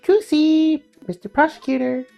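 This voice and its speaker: A woman voicing an eager, excitable young woman in a game, with a chirpy manner. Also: high voice, moderate pace, vocal fry, soft background music, voice pushed up.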